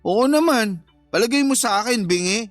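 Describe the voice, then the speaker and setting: A man's voice: very high-pitched, animated, moderate pace, no echo, faint music behind. An actor playing a jealous, sulky young father in a radio drama, accusing and whiny, with a Filipino accent.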